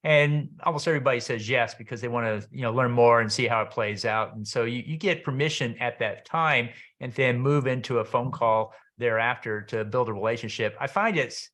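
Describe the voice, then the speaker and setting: A man's voice: mid-range voice, fairly quick, hardly any pauses, a bit bright. A middle-aged or older man hosting an interview in a conversational way. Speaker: interview host.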